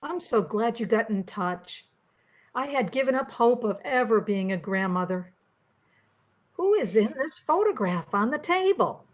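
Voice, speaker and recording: A woman's voice: on the high side, expressive, measured pace. An older woman playing a polite but firm grandmother in an amateur radio play. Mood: gracious. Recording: slightly dull, lo-fi sound.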